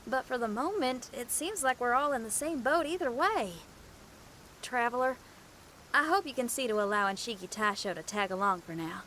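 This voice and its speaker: A woman's voice: a little high, animated, normal speed, a bit bright, a little hiss or hum. A woman voicing a spirited young female musician in a video game, folksy, breezy and self-assured. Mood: confident, friendly. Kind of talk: video game cutscene voice acting.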